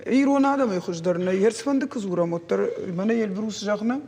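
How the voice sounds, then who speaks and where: A man with a notably high, rough-edged husky voice, a native Ossetian speaker. He talks off the cuff, stopping and repeating himself. Long reverb trails his words. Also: fairly quick, a little mellow. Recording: very echoey, reverberant room.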